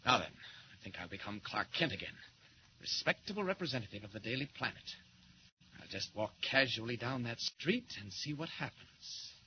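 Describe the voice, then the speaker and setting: An actor playing a confident, bold reporter hero in a brisk, declamatory 1940s radio style. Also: male voice, mid-range voice, animated, lightly acted. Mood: calm, sly. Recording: noisy, dull 1940s radio recording.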